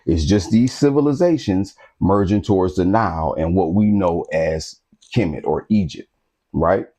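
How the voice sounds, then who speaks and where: Host type, male, low mellow voice, preachy street-talk lecturer who keeps checking the audience follows. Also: normal speed. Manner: street-talking preacher, repeats phrases, keeps asking "you understand what I'm saying". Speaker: host of a history talk channel. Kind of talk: casual lecture to camera.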